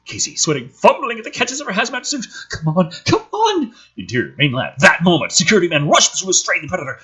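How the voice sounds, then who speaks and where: Forceful, husky man reading scripts theatrically. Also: medium pitch, rough recording. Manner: theatrical, exasperated, foul-mouthed. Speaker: host reading a film script draft with commentary. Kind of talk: dramatic script reading with sweary, sarcastic commentary.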